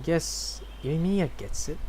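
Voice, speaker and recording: Slow, unhurried male game streamer. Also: fairly high pitch, heavy background noise. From Malaysia. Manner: rambling, laid-back. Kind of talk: casual livestream commentary, reading game dialogue aloud. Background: game music.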